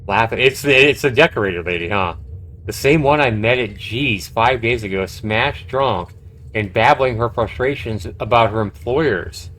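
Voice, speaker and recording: A man's voice: mid-range voice, moderate pace, fairly bright tone. A man live-streaming a game, reading its text aloud and adding casual, reactive commentary. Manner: reactive, conversational.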